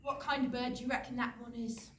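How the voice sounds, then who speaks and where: A woman's voice: on the high side, flat delivery, fast, fairly bright tone, close to an everyday voice. A woman playing a cynical, chatty castle guard, teasing and sarcastic, in a staged reading of a comic play. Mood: breezy teasing. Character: flippant, cynical, chatty castle guard who loves birds. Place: studio theatre. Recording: roomy theatre recording, distant mic, noisy.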